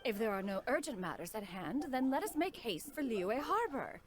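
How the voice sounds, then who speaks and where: Haughty female immortal, dignified archaic diction, slightly high, lively intonation, video game character. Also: moderate pace. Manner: formal, haughty, archaic, calls herself "one". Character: haughty, dignified immortal bird adeptus in woman's form. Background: music.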